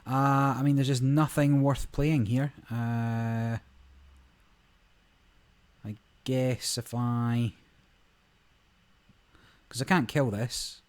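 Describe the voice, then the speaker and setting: Guy with a bit of a croaky voice, chill rambly card game commentary, lots of ums, mid pitch. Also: extremely slow, pauses often. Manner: relaxed, rambling, many fillers. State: slightly croaky voice. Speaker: gaming channel host. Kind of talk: casual gameplay commentary.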